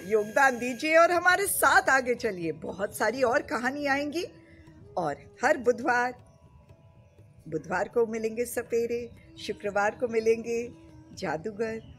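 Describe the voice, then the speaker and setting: Lively middle-aged woman host, slow. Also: a little high. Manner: lively, coaxing, enthusiastic. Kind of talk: animated show presenting. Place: outdoors by greenery.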